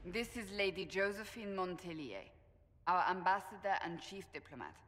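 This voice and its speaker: An actress playing a stern, resolute female holy warrior in a fantasy video game, speaking gravely and deliberately. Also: lowish voice, animated, fairly bright tone, long reverb tail, soft background music. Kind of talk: video game dramatic dialogue.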